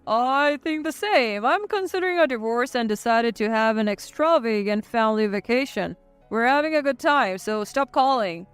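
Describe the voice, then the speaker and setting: A woman's voice: fairly high pitch, lively intonation, moderate pace, clean tone, soft background music, close to an everyday voice. A woman playing a callous, petulant husband and mama's boy in a narrated story, dismissive and self-satisfied. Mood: cold, smug.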